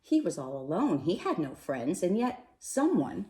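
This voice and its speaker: Animated woman reading a storybook, roomy echo. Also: on the low side. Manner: animated, dramatic pauses and emphasis, addressing young listeners. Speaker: storybook reader. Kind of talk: expressive read-aloud of a children's picture book. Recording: roomy, echoey sound.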